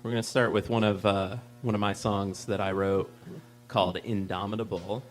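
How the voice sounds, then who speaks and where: A man's voice: fairly low pitch, steady tone, moderate pace. A man, a guest musician and bandleader, chatting casually and modestly before playing live. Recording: background noise, hum, faint audience sound.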